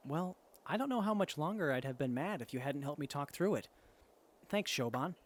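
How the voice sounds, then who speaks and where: A man's voice: fairly high pitch, lively intonation, normal speed. A man playing an earnest, hesitant, kind-hearted wasteland survivor in an audio drama dialogue. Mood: grateful. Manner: hesitant, sincere, soft-hearted.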